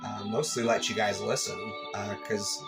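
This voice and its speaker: A man's voice: lowish voice, level tone, normal speed, creaky. A man hosting a shortwave listening channel, chatting in an easygoing, hesitant, casual way. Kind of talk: casual chat commentary. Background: music from a shortwave radio.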